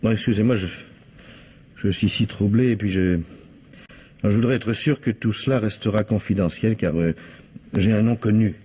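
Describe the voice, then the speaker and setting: Middle-aged bourgeois businessman, anxious and hesitant, dark husky tone, radio drama. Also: lowish voice, moderate pace, pauses often. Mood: nervous, troubled. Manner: hesitant, stammering, deferential. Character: flustered, anxious, evasive 42-year-old bourgeois businessman husband. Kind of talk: radio mystery drama acting.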